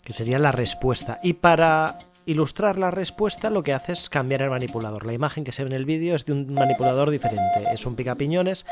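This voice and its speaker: A man's voice: medium pitch, animated, fairly quick, over music. A man with an accent from Spain, presenting a podcast in a conversational style as its host. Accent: Spain Spanish.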